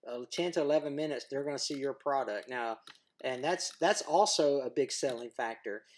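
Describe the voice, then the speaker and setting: A man's voice: mid-range voice, moderate pace. Plain-spoken man with easy laughs. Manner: plain-spoken, laughs easily, self-deprecating. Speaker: guest, YouTuber. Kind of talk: casual conversation.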